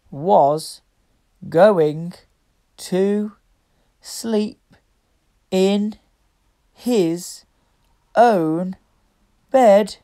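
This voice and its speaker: Woman, children's story reader, unhurried pace, slightly low pitch, soft-edged mellow tone, expressive phrasing. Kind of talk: storybook read-aloud for young children.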